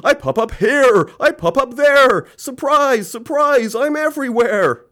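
A man voicing a manic, excitable storybook man who cries out in a sing-song way. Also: very high-pitched, unhurried, pitched above the performer's own voice, full character voice. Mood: manic glee. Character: manic, giggly man who pops up surprising people.

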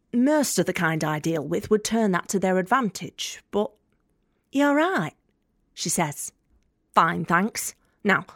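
An actress playing a blunt, working-class Northern English debt collector in an audio drama monologue, deadpan and sardonic as she confides in the listener. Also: fairly low pitch, no room sound, clean studio sound. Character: blunt, wry young working-class female debt collector with ailing mother.

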